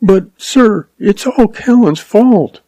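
A man reading aloud, voicing a young frightened woman schoolteacher in a higher, pleading voice. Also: lively intonation, takes it slowly, dark tone, dry recording, heavily acted. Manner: pleading, quivering.

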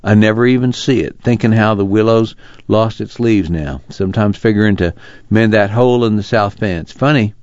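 A man's voice: fairly low pitch, moderate pace, a little mellow, dry recording, lightly acted. A man voicing a brooding, defeated farm father with resigned, folksy speech in a dramatized reading. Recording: dull, muffled audio.

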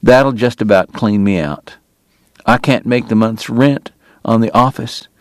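A man's voice: mid-range voice, unhurried, no echo, close to an everyday voice. A man reading aloud, voicing a sick, bitter dentist from the Old West with proud, formal speech that turns angry. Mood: despairing. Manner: pained, proud, formal diction breaking into anger.

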